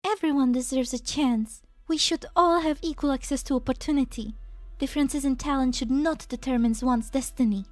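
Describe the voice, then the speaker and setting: A woman voicing a gentle, idealistic, serenely self-righteous scientist in an amateur game dub, speaking softly and formally. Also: high-pitched, normal speed, dry recording, faint music behind, natural voice. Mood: earnest conviction. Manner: soft-spoken, earnest, formal. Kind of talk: scripted anime-game fan dub acting.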